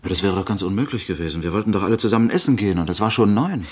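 Fast, husky, defensive employee. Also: male voice, fairly low pitch, animated. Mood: defensive, pleading. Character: defensive employee explaining himself to his superior. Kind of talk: 1960s radio crime play acting.

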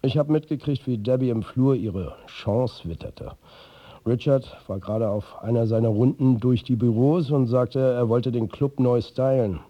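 An actor playing a world-weary, sardonic football manager who narrates a radio drama in a husky, blunt, confiding way. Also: male voice, medium pitch, normal speed, dry recording, highs cut off, lightly acted. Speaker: actor narrating as the lead character.

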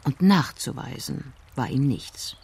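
A woman narrating a scripted radio drama in measured, deliberate phrases. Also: rumbling low, lively intonation, gravelly, darkish tone, breathy edge.

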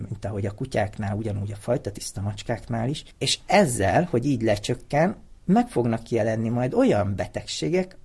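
A man speaks as an expert guest in an interview, in a fairly low voice with a gravelly grain. His tone is soft and dark, and he explains methodically, restating points for emphasis.

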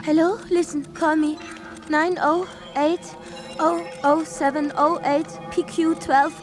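A woman's voice: high-pitched, level tone, takes it slowly. An actress in a radio drama playing a panicked, pleading young female cashier. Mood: urgent, panicky. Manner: urgent, pleading.

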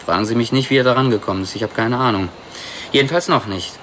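Actor as a wry computer expert, noisy background. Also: male voice, medium pitch, fast, fairly bright tone, natural voice. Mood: explanatory, pleased. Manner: explanatory, wry. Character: smug, clever male computer expert, wry and pedantic. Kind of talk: radio drama dialogue.

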